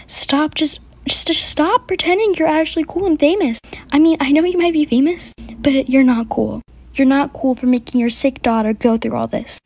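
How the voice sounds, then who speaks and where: A sulky, resentful sick girl, as a woman acts her out talks in a high voice with sarcastic, bitter complaints. It is a homemade skit. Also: steady tone, moderate pace, darkish tone, dry recording. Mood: angry, bitter.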